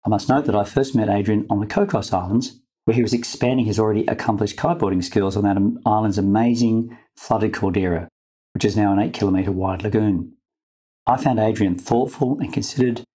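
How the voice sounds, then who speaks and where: A man with a husky voice hosting a medical podcast, explaining and talking in an informed way. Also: on the low side, brisk, dark tone. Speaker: podcast host. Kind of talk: scripted medical narration and interview talk.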